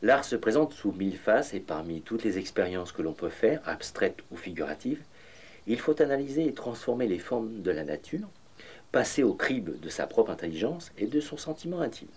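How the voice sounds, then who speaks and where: A man voicing a reminiscing painter in measured, literary first-person documentary narration. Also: on the low side, very animated, a little mellow, slightly rough. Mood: reflective, nostalgic. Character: reminiscing male painter of bohemian Paris. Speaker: voice-over reading the painter's memoirs in a documentary.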